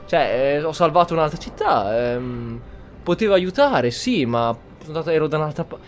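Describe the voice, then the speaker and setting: A man's voice: on the high side, expressive, brisk. An Italian man giving casual, colloquial gameplay commentary with an incredulous way of talking. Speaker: gaming streamer. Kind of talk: casual roleplay commentary over a video game. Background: game music.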